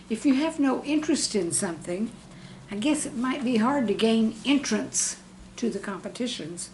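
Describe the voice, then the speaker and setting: Slow-talking woman telling classroom stories. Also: mid-range voice, a little hiss or hum, rough recording. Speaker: teacher reading own humorous classroom anecdotes. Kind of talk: scripted humorous narration.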